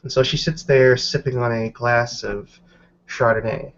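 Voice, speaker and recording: A man's voice: medium pitch, fairly even intonation, a little slow, fairly bright tone. Man narrating a roleplay, slightly muffled sound. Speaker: game master and host. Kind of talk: narrating a tabletop roleplaying game. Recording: slightly dull lo-fi mic.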